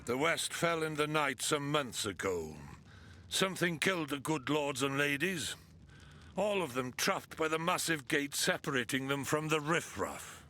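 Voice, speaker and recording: An actor playing a stern, weary military commander in a fantasy video game, curt, authoritative and sardonic. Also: male voice, a little high, sharp tone, slight background noise, full character voice.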